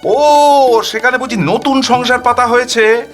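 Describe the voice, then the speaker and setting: A man voicing an angry married woman in an audio story, shrill, indignant and haranguing. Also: steady tone, a little slow, faint music behind. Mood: accusing. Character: angry, scolding married woman accosting a supposed husband.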